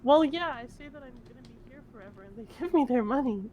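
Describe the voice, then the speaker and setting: A woman's voice: high voice, expressive, fast talker, mellow. A woman chatting casually in character in an online roleplay game, playful, repeating and stumbling over her words. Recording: clear background noise.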